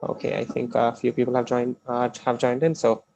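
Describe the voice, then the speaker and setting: A man hosting an online live stream and informally introducing a speaker, with a halting, hesitant delivery. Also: medium pitch, monotone, gravelly, darkish tone, slight background noise, poor audio. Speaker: conference host introducing a speaker. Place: online video call.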